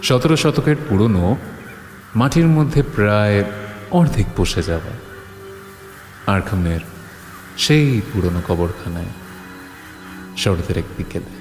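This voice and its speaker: A man's voice: on the low side, measured pace, long pauses, darkish tone. A man hosting and narrating a horror audio story in a dramatic scripted style. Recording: long reverb, music underneath. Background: music.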